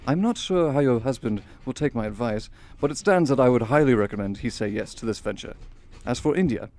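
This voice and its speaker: An actor playing a courteous, affectionate Victorian gentleman reading letters to his sister in a radio drama, in formal, articulate period diction. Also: medium pitch, lively intonation, a little fast, natural voice. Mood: pleased, encouraging.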